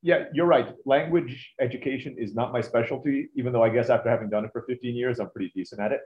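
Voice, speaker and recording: Fast-talking man on an echoey line. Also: mid-range voice. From Turkey. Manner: easygoing, chatty, self-deprecating. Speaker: guest, business owner. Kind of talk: casual interview talk. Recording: echoey, dull-sounding remote line.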